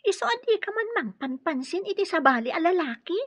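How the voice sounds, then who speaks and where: A high, animated, sharp young woman plays the nosy best friend, teasing and exclaiming. It is Ilocano radio drama acting. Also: a little fast, no room sound, heavily acted. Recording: dull lo-fi sound with music.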